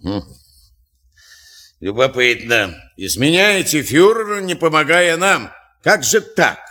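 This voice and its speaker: An actor playing a calm, stern Soviet major general with dry irony. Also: male voice, on the high side, exaggerated voice. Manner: calm, measured, dryly ironic. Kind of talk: war film acting.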